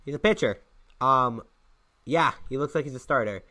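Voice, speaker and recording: A man's voice: mid-range voice, moderate pace, bright, forceful tone. A young man co-hosting a casual sports podcast, sounding congested and sick, joking and teasing his co-host.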